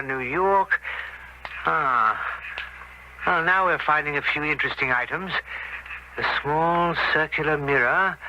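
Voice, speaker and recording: An actor playing a methodical, self-assured police inspector in a radio drama, with a deliberate, clipped official manner. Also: male voice, on the high side, lo-fi sound. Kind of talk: 1970s radio drama acting. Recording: noisy old radio broadcast recording.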